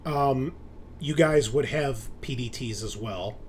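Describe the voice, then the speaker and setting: A man's voice: medium pitch, slow pace, a little hiss or hum. A man running a tabletop roleplaying game as game master, explaining scenes and rules conversationally.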